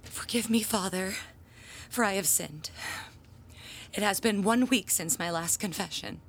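Woman acting slow, earnest, melodramatic churchgoer. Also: medium pitch, very noisy, close to an everyday voice. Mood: solemn, theatrical. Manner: halting, stammering, formal and theatrical. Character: Claire, earnest, dramatic, nervous church bell-ringer woman confessing. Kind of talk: audio drama acting.

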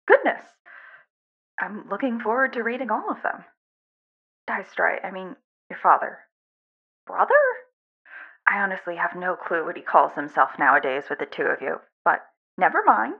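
A woman's voice: mid-range voice, sing-song, normal speed, vocal fry, pauses often, slightly rough, heavily acted. A woman playing a doting, chatty, affectionate mother in a fan fiction audio drama, gushing and rambling. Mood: flustered. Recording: dull lo-fi recording.

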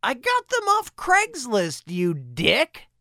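A woman's voice: a little high, wildly swooping pitch, takes it slowly, pushed and bright, no room sound, studio quality. A woman hosting a comedy podcast, with a sardonic manner. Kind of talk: comedy podcast sketch and sign-off.

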